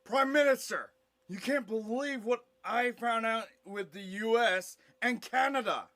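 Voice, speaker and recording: Audio play explorer performed by a male amateur: British, urgent, respectful, raised pitch, slow formal delivery. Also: a bit bright. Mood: alarmed.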